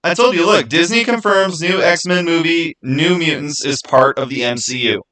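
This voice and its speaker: A man hosting a livestream, chatting casually and answering viewers in an informal, opinionated, halting way that often repeats itself. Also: fairly high pitch, pushed and bright, low-quality recording. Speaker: livestream host.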